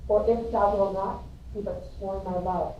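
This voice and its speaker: A woman's voice: mid-range voice, fairly even intonation, unhurried, dull audio, close to an everyday voice. A woman reading the part of an earnest, anxious young girl in love in a Shakespearean verse drama. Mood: yearning. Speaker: amateur reader in a school play. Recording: roomy, distant mic, faint noise.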